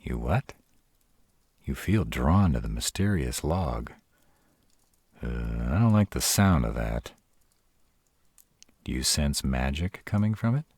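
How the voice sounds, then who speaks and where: Very deep, husky male were-dragon lover, acted by a man. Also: big pitch swings, darkish tone, no room sound, clean studio sound, exaggerated voice. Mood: uneasy, cautious. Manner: tsundere, teasing yet caring, hesitant pauses. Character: gruff, protective, tenderly sarcastic male were-dragon lover. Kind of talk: romantic fantasy audio roleplay, speaking to the listener.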